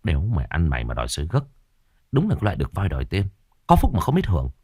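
Rude hotheaded guy mouthing off, man playing him, low voice but swings all over. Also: animated, moderate pace, a little mellow, dry recording, studio quality. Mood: scornful. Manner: scornful, scolding. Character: rude, quick-tempered young man.

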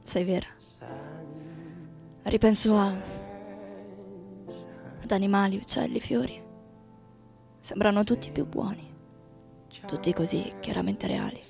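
An actress playing a weary, tender lover in an intimate literary radio drama, with a gravelly, creaky voice. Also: female voice, mid-range voice, measured pace, long pauses. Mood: musing, tender. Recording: voice over faint-to-clear music bed.

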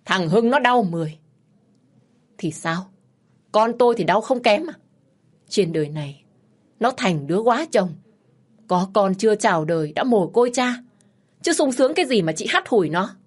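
A woman's voice: mid-range voice, lively intonation, moderate pace, long pauses, slightly rough. A woman voicing a fiery, protective mother in an audio story, indignant and scolding. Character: fiery, protective mother of a scorned young widow.

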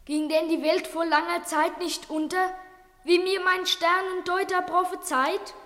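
A woman's voice: very high-pitched, little pitch movement, unhurried, sharp tone, full character voice. A woman playing an outraged ancient pharaoh in a children's stage play, declaiming rhymed verse for radio. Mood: indignant. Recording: long hall reverb.

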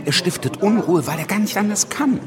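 A man's voice: sits high, lively intonation, a little fast. A man playing a hesitant, dryly joking prosperous lawyer in a German radio drama. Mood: dry, wry. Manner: hesitant, wry. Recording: crowd chatter behind the voice.

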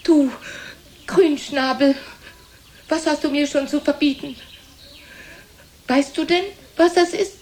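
A woman's voice: sits high, fairly even intonation, slow pace, long pauses. An older actress playing an aging, self-pitying, reproachful mother in a theatrical German radio drama, lamenting in a plaintive, dramatic way. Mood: indignant, anguished. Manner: plaintive, dramatic, repetitive lamenting with swings in loudness.

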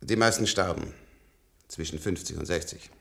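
An actor in a German radio play, playing a weary, very old scientist who talks hesitantly and reflectively in a husky, gravelly voice. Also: male voice, low voice, normal speed, fairly bright tone. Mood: explaining, wry. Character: weary, very old scientist with a longevity-treated middle-aged body.

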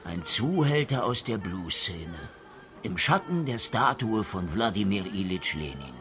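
A man's voice: on the low side, sing-song, a little slow, a bit bright, soft background music. An actor playing a sardonic, menacing private detective in a noir radio drama, with a clipped tough-guy delivery. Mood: sardonic menace.

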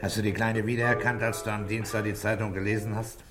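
An actor playing a calm, middle-aged police commissioner in a German radio crime drama, asking terse, dryly insistent questions. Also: male voice, lowish voice, fast, over music, natural voice. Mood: probing.

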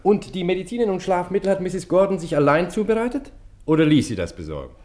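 A man's voice: high voice, very animated, fast, a little mellow. A man playing a polite but pressing police investigator in a German radio crime drama. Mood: inquisitive. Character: brisk, inquisitive male police investigator.

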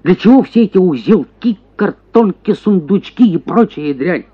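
An actor voicing a henpecked prosecutor, a self-pitying husband who grumbles and exclaims theatrically in a radio story. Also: male voice, sits high, moderate pace, breathy edge, dull audio, pitched above the performer's own voice. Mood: exasperated complaining. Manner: plaintive grumbling that bursts into excited exclamations.

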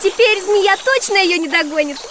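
A woman playing a curious, teasing young girl with a lively, expressive manner in a children's audio drama. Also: extremely high pitch, normal speed, noisy background. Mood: excited.

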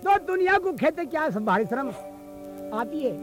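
A man's voice: extremely high pitch, very animated, moderate pace, over music. A man performing a folk tale as a traditional storyteller, moving between sung verse and spoken narration. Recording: field cassette recording with instrument accompaniment. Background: musical instrument accompaniment.

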